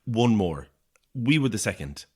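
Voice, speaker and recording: A man's voice: fairly low pitch, sing-song, unhurried, husky, heavily acted. An actor playing a lonely, weary Irish man in his thirties in a naturalistic audio horror drama, polite, hesitant and dry in manner. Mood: subdued, explanatory. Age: 30s.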